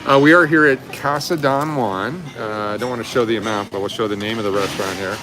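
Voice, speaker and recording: A man in his fifties chatting casually and rambling a little as he hosts a live stream, recorded on a phone indoors. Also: fast. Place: busy restaurant, indoors. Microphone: phone held at arm's length. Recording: restaurant chatter and rumble behind voice.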